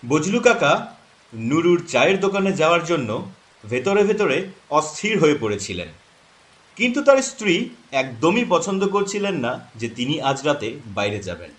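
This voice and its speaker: A man's voice: on the high side, sing-song, normal speed, fairly bright tone. A man narrating a scripted horror story in a dramatic, suspenseful style.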